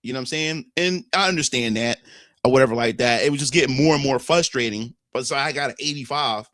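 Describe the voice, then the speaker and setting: A young adult man with a Philadelphia accent, telling a story in a casual, animated monologue, swearing freely and often restarting his phrases. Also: medium pitch, quick pace, dry recording, clean studio sound. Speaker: streamer.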